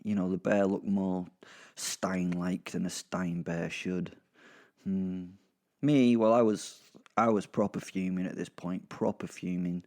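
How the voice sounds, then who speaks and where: British man, low voice, dark mellow tone, conversational storytelling, wry sweary asides. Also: steady tone, measured pace. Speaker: narrator of horror audio drama. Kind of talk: first-person conversational storytelling.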